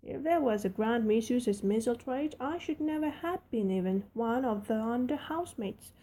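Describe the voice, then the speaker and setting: A woman's voice: on the high side, lively intonation, moderate pace, warm tone, slight background noise, close to an everyday voice, rough recording. A woman doing a blunt Yorkshire housemaid. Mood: chatty. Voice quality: broad dialect, homely and frank. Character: plain-spoken young Yorkshire housemaid, cheerful and blunt.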